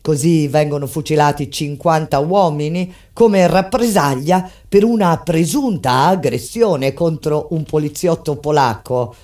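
A woman delivers dramatic theatrical narration in a solemn, deliberate manner, with weighty pauses. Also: low voice. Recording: voice over loud plucked guitar music. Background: loud acoustic guitar music.

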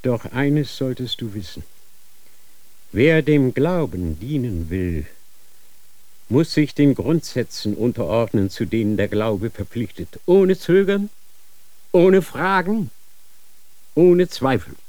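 Radio-drama inquisitor father: slow, sweeping, solemn preacher, dry studio sound. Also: mid-range voice, sing-song, mellow, dry recording. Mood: commanding. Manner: solemn, preaching, grandly insistent. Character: stern fanatical male church inquisitor, a father.

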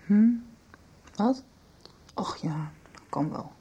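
A woman's voice: medium pitch, expressive, extremely slow, dark tone, husky, muffled recording, heavily acted. A woman playing a moody, jealous young woman in a radio soap, sulky and snappish in everyday speech. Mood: distracted, sulky.

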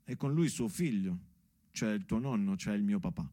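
A man's voice: lowish voice, lively intonation, normal speed, dark tone, no echo, studio quality. A man delivering a storytelling theatre monologue as if to his daughter, with conversational asides and dramatic emphasis. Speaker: stage actor and monologuist.

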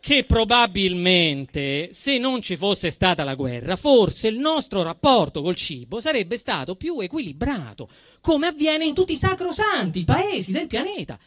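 A man with a Roman accent performs a satirical stage monologue in a very high-pitched, forceful voice. His delivery swings widely, emphatic and indignant with a mock-solemn edge. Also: very animated, unhurried, dry recording. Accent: Roman Italian. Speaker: actor performing a comic monologue. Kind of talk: theatrical satirical monologue, storytelling.

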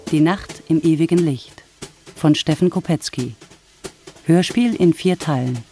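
A woman's voice: sits low, measured pace, music in the background. A woman reading a scripted radio play title announcement.

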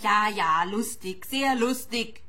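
An actress playing a serious, curt young hotel receptionist in a radio play, cool and unamused. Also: female voice, medium pitch, slow, pushed and bright. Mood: sarcastic. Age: young adult.

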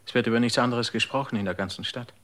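An actor in a radio drama playing a devoted, worried companion, speaking gently and earnestly, often in a hushed voice. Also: male voice, medium pitch, racing, lightly acted.

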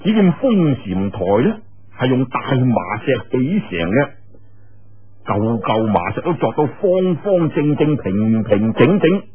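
A male storyteller with a Cantonese accent delivers a martial arts tale in a colloquial, theatrical style. His voice is very rough and husky and moves through a wide, expressive pitch range. The sound is narrow and radio-like. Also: slow.

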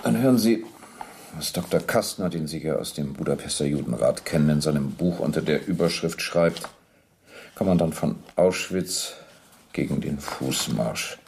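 An actor playing a sober, persistent police captain in a docudrama radio play, measured, precise and matter-of-fact as he questions and reads from documents. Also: extremely low pitch, expressive, gravelly, darkish tone, a little hiss or hum, full character voice. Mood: sober reading.

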